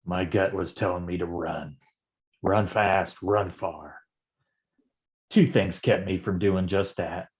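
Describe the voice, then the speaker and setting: Noir private eye, male stage actor: wisecracking, down on his luck, low pitch, tough-guy slang, muffled stream audio. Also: steady tone, slow pace, long pauses. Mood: wry, brooding. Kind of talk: noir stage acting with narrated monologue. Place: livestreamed staged reading.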